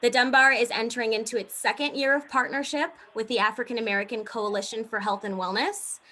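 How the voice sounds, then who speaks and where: A woman with a bright, forceful and fairly high voice reads a scripted announcement as event host. There is faint noise behind her on the video call. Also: fairly even intonation, brisk.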